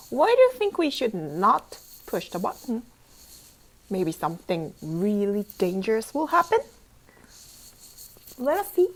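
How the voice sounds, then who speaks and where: A woman teacher reading a picture book aloud to children, playful and interactive, asking questions, repeating phrases and adding exclamations and sound effects. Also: medium pitch. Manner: repeats phrases slowly for young learners.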